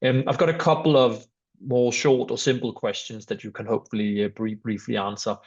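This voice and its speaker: A man's voice: medium pitch, steady tone, a little fast, highs cut off. A man hosting a webinar, politely reading out audience questions to a guest over a video call. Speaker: webinar host. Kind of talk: moderating a webinar Q&A.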